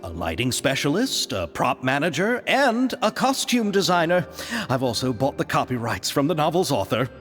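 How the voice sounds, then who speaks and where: A man's voice: very animated, normal speed, fairly bright tone. An actor playing a nervous, effusive male film director in game dialogue. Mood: earnest. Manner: flustered, emotional, leaps high in pitch. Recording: reverberant with music behind.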